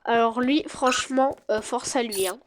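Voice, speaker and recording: A woman with a stuffy cold, chatting excitedly to her viewers as she plays and comments on a game. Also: fairly high pitch, moderate pace, noisy background. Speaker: gaming YouTuber. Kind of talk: casual gameplay commentary.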